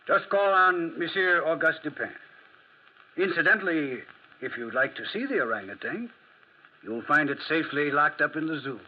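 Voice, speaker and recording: An actor playing a shrewd, composed gentleman detective, deliberate and courteous, in 1940s radio drama style. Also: fairly high pitch. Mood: brisk, courteous. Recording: muffled, hissy 1940s radio recording.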